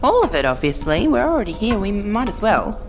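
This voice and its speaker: A woman acts a feisty young survivor in an audio drama. She is curt, sarcastic and impatient, in a mid-pitched voice with faint music behind. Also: big pitch swings, brisk. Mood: dismissive. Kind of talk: conversational audio drama acting.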